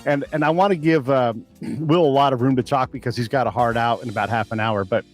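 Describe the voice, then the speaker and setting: A man's voice: mid-range voice, a little fast, faint music behind. A man hosting a podcast interview, chatty and joking, with many restarts. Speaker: podcast host. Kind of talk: casual interview talk.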